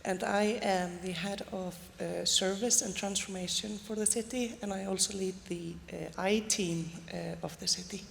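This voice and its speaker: Gravelly low woman from Iceland. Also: fairly even intonation, normal speed, hardly any pauses, slight background noise. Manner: hesitant, many fillers. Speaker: panelist, city official. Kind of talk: conference panel talk. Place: large conference stage. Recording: long reverb, faint ambience.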